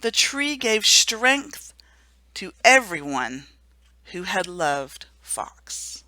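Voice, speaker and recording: A woman reading a children's storybook aloud for a remembrance service, gentle and hushed at the sad moments. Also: mid-range voice, big pitch swings, drawn-out words, no room sound, poor audio. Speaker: story reader.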